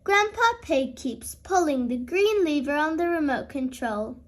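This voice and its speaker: A woman reading a children's storybook aloud as host, in an expressive storytelling style. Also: sits high, measured pace.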